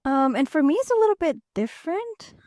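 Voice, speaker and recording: A woman's voice: sits high, sing-song, a little fast, darkish tone, dry recording, clean studio sound. A young woman from Peru co-hosting a casual student podcast, chatty and full of "um" and "like". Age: young adult. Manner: chatty, many fillers like "um" and "like". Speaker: podcast co-host, student ambassador. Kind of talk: casual chat.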